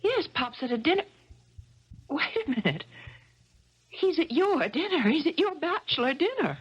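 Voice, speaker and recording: A woman's voice: sits high, big pitch swings, normal speed. An actress playing a troubled woman tennis champion who drinks, dazed and pleading at times, guarded and dry at others. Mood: guarded sardonic. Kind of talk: 1970s radio drama acting. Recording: dull lo-fi 1970s radio broadcast with music.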